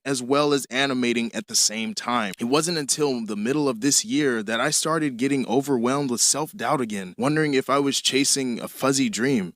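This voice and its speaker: A man's voice: mid-range voice, fairly bright tone, no echo, studio quality. A young man narrating a casual, scripted storytime as an animator, with playful, self-mocking asides. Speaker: animator and video creator telling their own story.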